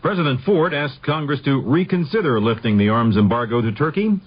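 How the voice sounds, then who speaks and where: Husky male radio news anchor, vintage broadcast. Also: medium pitch, dull audio. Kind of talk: scripted news bulletin.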